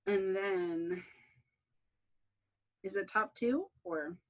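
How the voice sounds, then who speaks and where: A woman being interviewed as a writer, chatting casually in an animated, self-deprecating way. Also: mid-range voice, extremely slow.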